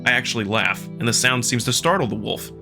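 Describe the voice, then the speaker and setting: A man reading first-person fiction as a narrator, in an expressive, dramatic storytelling style. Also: mid-range voice, fairly quick, vocal fry. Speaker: narrator and performer of a visual novel. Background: music.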